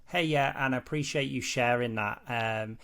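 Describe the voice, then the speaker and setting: A man's voice: mid-range voice, moderate pace, vocal fry, a bit bright. A man presenting a roleplay training demo, talking casually and explanatorily with a conciliatory, somewhat hesitant way of phrasing things.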